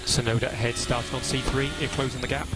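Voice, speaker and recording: A man's voice: mid-range voice, flat delivery, fast talker. Male race engineer, matter-of-fact and terse, radio sound with prominent music underneath. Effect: team radio. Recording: team radio with loud background sound.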